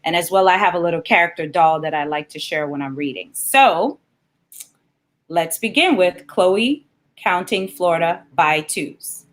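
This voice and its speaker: A woman's voice: on the low side, unhurried. A woman speaking informally as a guest children's author and librarian, presenting a read-aloud for kids.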